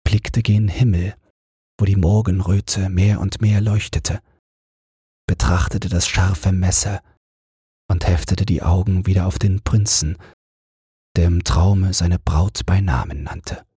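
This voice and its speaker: A man narrating a bedtime fairy tale as an audiobook, in a calm, gentle and measured manner. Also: deep voice, breathy edge, no echo, clean studio sound.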